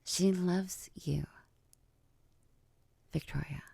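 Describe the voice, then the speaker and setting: A woman acts out a regal vampire queen for an ASMR audio drama. Her voice is low and mellow, recorded very dry and close. She speaks with measured, hushed poise and a teasing streak. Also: steady tone, gravelly, husky, no room sound, exaggerated voice. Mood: measured, serious. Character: regal, teasing vampire queen, composed older-cousin type. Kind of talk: ASMR audio roleplay acting.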